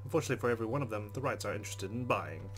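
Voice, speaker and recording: A man's voice: fairly low pitch, expressive, racing, loud music behind the voice. A man reading a visual novel aloud as a let's play host, with casual commentary.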